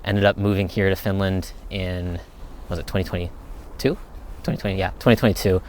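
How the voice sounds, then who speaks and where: A man's voice: sits low, steady tone, brisk, darkish tone, a little hiss or hum. A young man answering viewer questions in a casual, rambling chat, thinking aloud as he goes. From United States. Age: young adult.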